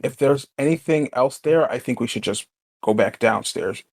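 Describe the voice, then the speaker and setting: A man's voice: mid-range voice, animated, normal speed. A man playing a tabletop role-playing game, chatting casually about what to do next.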